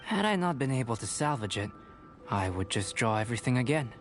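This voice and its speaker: A man voicing a calm, aloof young male alchemist in a video game, with a measured, formal delivery. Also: medium pitch, over music, lightly acted. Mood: composed. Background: game soundtrack.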